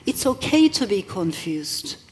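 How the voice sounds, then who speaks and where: A woman's voice: mid-range voice, sing-song, darkish tone. A middle-aged woman author whose first language is not English, speaking thoughtfully at a live event and reading from her novel. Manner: reflective, with measured pauses and occasional restarts. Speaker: author and guest.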